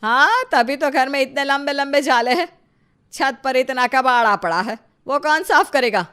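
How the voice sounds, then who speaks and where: A woman voicing a domineering, nagging mother-in-law with a sharp, bossy manner in an animated family story. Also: sits high, moderate pace, smooth, pitched above the performer's own voice. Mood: angry, scolding. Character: scolding, scheming, domineering mother-in-law of two daughters-in-law.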